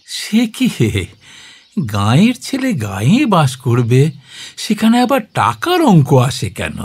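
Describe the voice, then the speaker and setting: An actor playing a warm, hospitable elderly village uncle in an audio drama, hesitant and avuncular. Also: male voice, on the high side, wildly swooping pitch, slow pace, husky, exaggerated voice. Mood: insistent, affectionate. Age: older.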